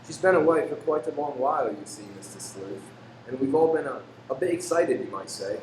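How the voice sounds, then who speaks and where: A man's voice: medium pitch, animated, a little fast, lightly acted. A man playing a genial, deferential father in a radio play, with a folksy, mildly apologetic way of talking. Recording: distant, noisy, echoey room.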